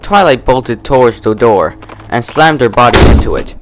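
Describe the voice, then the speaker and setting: A man narrates a story from a script. His voice sits at a middling pitch and moves expressively, in a dramatic storytelling style. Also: animated, normal speed, background noise. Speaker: fan fiction narrator.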